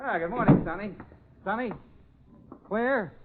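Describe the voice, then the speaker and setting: An American actor playing a breezy, optimistic detective in a 1940s radio drama. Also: male voice, sits high, unhurried, very noisy. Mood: breezy, surprised. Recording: narrow, muffled 1940s radio recording.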